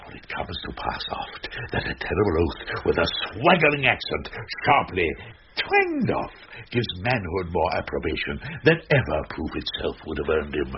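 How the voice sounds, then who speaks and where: An actor playing a boisterous, mocking knight, theatrical and relishing each phrase in Shakespearean radio drama. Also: male voice, low-pitched, huge pitch swings, normal speed, heavily acted. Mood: rousing, amused. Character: boisterous, mocking knight, a scheming prankster uncle. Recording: dull noisy early-1990s radio drama recording.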